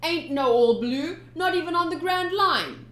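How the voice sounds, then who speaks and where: A woman voicing a brash male pirate crewman who jeers loudly in an echoey room. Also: very high-pitched, expressive, a little slow, voice pushed up, heavily acted. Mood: jeering. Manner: loud, jeering.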